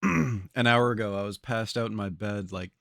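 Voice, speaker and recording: A man's voice: fairly low pitch, normal speed, gravelly, fairly bright tone, no echo, clean studio sound. A man streaming live and chatting casually with his viewers, laid-back and slangy with free swearing, his voice sounding slightly congested. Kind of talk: casual live-stream chat while gaming.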